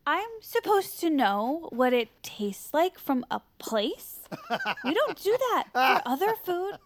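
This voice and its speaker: High, chirpy, ditzy aide. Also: female voice, big pitch swings, slow, exaggerated voice. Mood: tipsy, blunt. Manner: guileless, quirky chatter. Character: ditzy, chirpy, blunt young woman aide. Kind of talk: audio drama acting.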